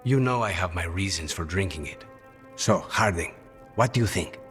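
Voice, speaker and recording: Game companion: perceptive, understated man, dry delivery, fairly low voice, some music behind. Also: expressive, moderate pace, fairly bright tone, in a large hall. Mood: dry, composed. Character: dry, composed, perceptive coffee-loving companion. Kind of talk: video game dialogue acting.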